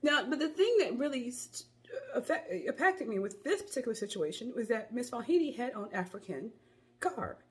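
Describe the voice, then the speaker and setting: A woman with a cold and a hoarse voice, giving chatty, rambling opinion commentary into her phone. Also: on the high side, very animated, normal speed. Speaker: commentary vlogger.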